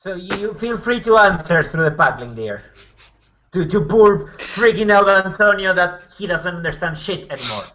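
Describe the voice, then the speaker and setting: A man with a highish, bright and tense voice plays through a roleplay scene. He narrates casually, halting and sardonic, swearing freely. The sound is lo-fi and dull in band. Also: expressive. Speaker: tabletop roleplayer.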